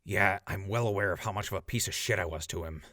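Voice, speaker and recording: A man's voice: low voice, fast talker, bright, forceful tone, dry recording, clean studio sound. A man playing a guilt-ridden, sardonic wasteland survivor in an audio drama, speaking in a plain, casual way. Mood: remorseful. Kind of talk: post-apocalyptic audio drama acting.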